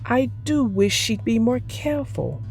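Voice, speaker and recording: Lady playing a gentle worried mama bear in a storybook, highish voice, takes her time. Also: sing-song, a little slow, gravelly, faint music behind, full character voice. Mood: fretting. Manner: mild, fretting. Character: gently worried, careful mother bear in a picture book.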